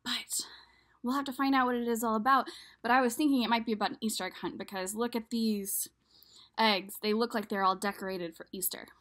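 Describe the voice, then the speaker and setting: A woman's voice: fairly high pitch, steady tone, a little fast, a bit bright. A woman in her thirties casually introducing a picture book to children, speaking directly to young viewers in a room at home. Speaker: children's storyteller. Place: small room at home, indoors.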